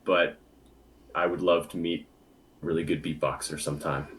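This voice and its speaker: A young man and bass singer, a guest chatting casually in an interview, easygoing and full of filler words. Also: level tone, moderate pace, noisy background. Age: young adult.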